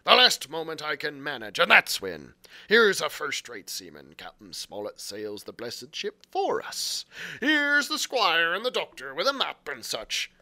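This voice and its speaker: Man doing a sly, menacing old pirate quartermaster for a storybook reading, loud and bright with a swooping voice. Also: fairly high pitch, huge pitch swings, unhurried, full character voice. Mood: scheming. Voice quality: loud, rolling pirate speech. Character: cunning old male pirate quartermaster, smooth-talking and menacing.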